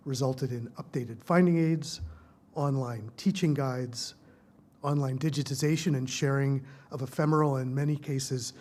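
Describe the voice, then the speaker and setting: A man hosting a library event, reading formal introductions of the speakers in a measured, prepared way. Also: medium pitch, fairly even intonation, darkish tone. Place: lecture hall at a research library.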